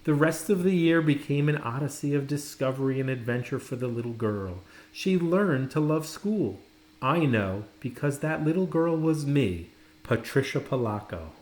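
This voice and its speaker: A man narrating a children's storybook expressively, with dramatic, deliberate phrasing and pauses. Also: on the high side, expressive, a little mellow. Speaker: storybook reader. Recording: roomy, echoing indoor sound.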